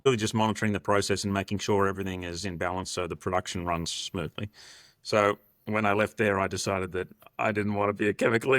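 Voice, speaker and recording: A man from Sydney in his fifties with an Australian accent and a low voice. He talks as an interview guest, in an anecdotal, conversational style. The sound is dry and close. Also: fairly bright tone, no room sound. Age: 50s. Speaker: podcast guest, restructuring partner.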